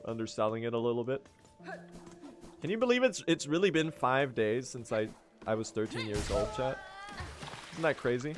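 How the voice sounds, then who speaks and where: A young man from Sweden, late teens, streaming and chatting with viewers. His English is loose and joking, full of rambling asides and sudden excited bursts. His voice sits at an ordinary middle pitch, with faint music running quietly behind him. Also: moderate pace, pauses often. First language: Swedish. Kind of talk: casual livestream chat while gaming.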